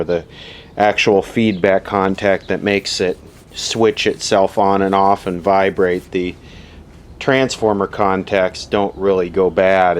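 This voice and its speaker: Male hobbyist repairer, low matter-of-fact voice, casual off-the-cuff demo, faint noise on amateur camera audio. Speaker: vintage radio repair hobbyist presenting. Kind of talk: casual unscripted workbench demonstration. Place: indoor workshop at a workbench.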